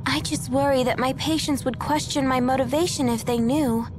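A woman voicing an earnest, self-doubting teenage girl in a video game, expressive and plain-spoken. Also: sits high, moderate pace, noisy background, natural voice. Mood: earnest, unsure. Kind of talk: English video game voice acting. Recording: game music behind the voice.

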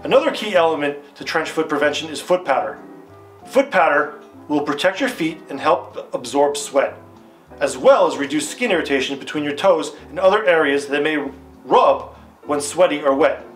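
A man acts out an upbeat, authoritative army captain in a retro training film. His American voice sits a bit high and is formal and didactic, with guitar music playing behind it. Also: expressive, moderate pace, natural voice. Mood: instructive, assured. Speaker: instructor in a military training film. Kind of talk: scripted retro instructional film delivery. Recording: music under the voice. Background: background guitar music.

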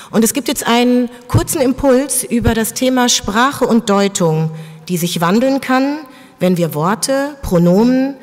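A woman gives a measured, formal introduction of the next speaker. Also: fairly high pitch. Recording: long hall reverb.

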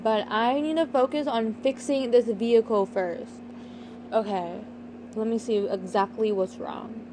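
A woman's voice: a little high, fairly even intonation, unhurried, background noise, natural voice. A woman voicing a capable, assertive grown daughter who works as a doctor, in an amateur roleplay drama. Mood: focused. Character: capable, busy grown daughter, a doctor, hurt but forgiving.

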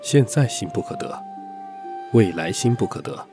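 A man's voice: on the low side, lively intonation, moderate pace, over music, lightly acted. A man playing a weary, guarded middle-aged prince in a historical audio drama, restrained and formal. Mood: gently probing.

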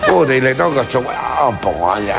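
A man's voice: medium pitch, moderate pace, vocal fry. An older man with a Chilean accent telling a crude joke on a TV stage, swearing constantly and putting on voices for the people in his story. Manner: profane, animated storytelling with voiced quotes. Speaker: stand-up comedian. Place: TV studio stage. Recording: loud background music.

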